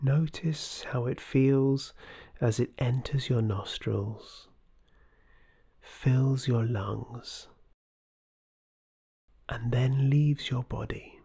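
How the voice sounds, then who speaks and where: Slow, breathy, dark-toned meditation guide. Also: medium pitch. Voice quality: soft, breathy, often near whisper. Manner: soothing, gentle, measured. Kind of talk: guided meditation, scripted narration.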